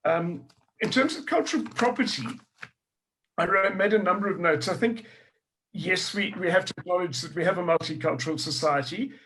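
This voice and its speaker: A middle-aged South African man with a higher-pitched voice talks through his ideas earnestly as a historian guest. He thinks aloud, restarting his phrases and circling back to his point. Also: normal speed, a bit bright, a little hiss or hum, rough recording. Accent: South African English. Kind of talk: panel discussion.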